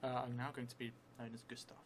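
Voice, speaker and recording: Middle-aged male solo role-player, slow and halting storytelling, flat delivery, noticeable background noise. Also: medium pitch. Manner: halting, thinking aloud, slowly dictating as he writes. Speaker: solo role-playing streamer. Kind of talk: improvised storytelling while writing and playing a solo tabletop game. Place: indoor theatre room, seated at a table. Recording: clearly noisy room recording.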